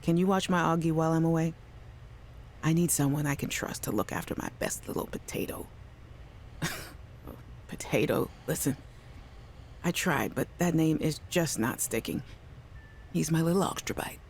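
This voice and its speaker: An actress playing a cheerful, affectionate young scientist leaving voice messages for a little sister in a video game. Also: female voice, sits low, darkish tone, a little hiss or hum. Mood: excited, affectionate. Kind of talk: scripted audio message voice acting.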